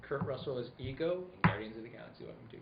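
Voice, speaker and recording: A man's voice: medium pitch, animated, very fast, creaky, loud music behind the voice. Man, casual host banter, rambling and jokey, roomy echo, dull sound. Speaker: host of a live radio-play show. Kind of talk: casual banter and show introduction. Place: indoor room with group. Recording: echoey room, muffled, heavy noise.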